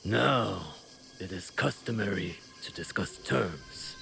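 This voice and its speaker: Over a clear music score comes a low, gravelly shogun, stripped of power yet proud. He speaks very slowly and formally, a calm negotiator who looks down on his listeners in a dramatic game scene. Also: exaggerated voice. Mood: calculating. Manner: measured, formal, condescending. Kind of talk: dramatic video game cutscene acting.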